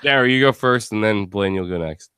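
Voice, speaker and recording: A man with a low voice chats in a casual, slangy way on a panel. The sound is dry and close, with very little room to it. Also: fairly quick, fairly bright tone, smooth, dry recording. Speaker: podcast host and audio drama actor.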